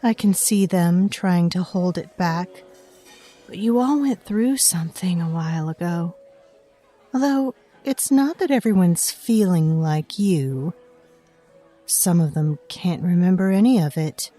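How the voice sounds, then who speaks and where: A knowing, ancient fae waitress, speaks slowly in a soft-edged, mellow voice. She is wry and conspiratorial, an audio drama storyteller with music underneath. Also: on the low side. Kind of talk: scripted audio drama narration in second person.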